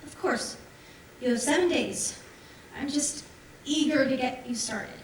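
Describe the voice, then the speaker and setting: A woman's voice: sits high, measured pace, recorded from a distance. A woman on stage playing an eager, persuasive daughter in a sci-fi colony, speaking in a coaxing, assured way. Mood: smooth persuasion. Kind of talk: staged musical reading, stage acting. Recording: echoey theatre from afar, faint noise.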